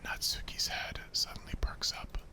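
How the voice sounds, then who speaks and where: A man reading a visual novel as whispered ASMR narration, soft and deliberate.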